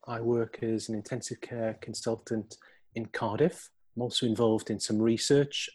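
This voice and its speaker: A man's voice: medium pitch, darkish tone, no room sound. A man, an intensive care consultant, answering interview questions as a reflective storyteller who acts out quoted dialogue, recorded over a video call.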